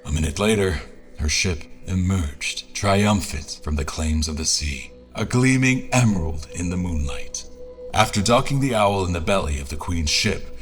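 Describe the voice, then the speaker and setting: Deep-voiced adventurer recounting a journey. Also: male voice, lively intonation, moderate pace, faint music behind. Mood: tense, vivid. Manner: formal, literary storytelling. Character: adventuring male narrator recounting a perilous airship expedition. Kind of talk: dramatic first-person scripted narration.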